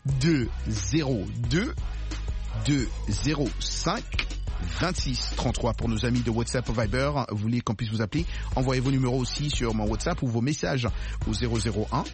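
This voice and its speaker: A man hosting a lively radio call-in show, joking, laughing often and repeating himself. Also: medium pitch, normal speed. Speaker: radio host. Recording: radio broadcast with music underneath.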